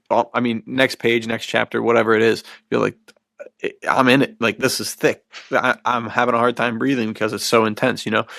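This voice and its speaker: A man's voice: fairly low pitch, gravelly, fairly bright tone, no room sound, clean studio sound. A man hosting a casual interview, informal and enthusiastic in how he talks and asks questions. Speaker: podcast host.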